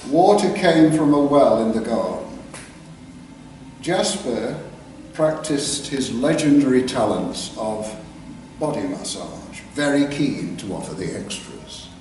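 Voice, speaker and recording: An older man with an English East Midlands accent, telling a story live with careful diction and dramatic pauses. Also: medium pitch, expressive, darkish tone. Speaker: author reading his own work. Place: live reading in a theatre clubroom. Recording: very echoey hall, distant mic, background noise.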